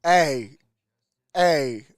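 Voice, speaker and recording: A man's voice: a little high, extremely slow, no room sound, clean studio sound. A man hosting a sports livestream, giving live play-by-play and chatting in short reactions.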